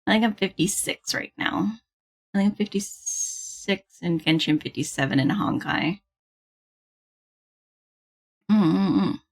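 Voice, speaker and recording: A woman livestreamer chatting casually with viewers in a bubbly, giggly manner, with a slightly husky voice. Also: medium pitch. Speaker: livestreamer hosting a gaming stream. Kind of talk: casual stream chat with viewers.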